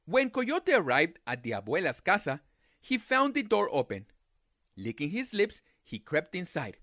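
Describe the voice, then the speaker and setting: A man reading a children's picture book aloud as a storyteller, with dramatic, deliberate phrasing. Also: a little high, wildly swooping pitch, fairly bright tone, no room sound, clean studio sound.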